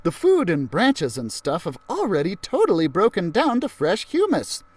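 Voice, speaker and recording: A man reads as a studious schoolgirl in a children's storybook. The voice sits fairly high with lively lifts, sharing research in a matter-of-fact, informative way. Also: moderate pace, breathy edge, no room sound, exaggerated voice.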